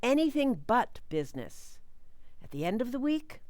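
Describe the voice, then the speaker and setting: An actress playing a stern, probing doctor in a radio thriller, with clipped, authoritative delivery. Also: female voice, fairly high pitch, sing-song, normal speed, darkish tone, dry recording. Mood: reassuring, firm. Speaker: community theater actor. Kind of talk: old-time radio thriller acting.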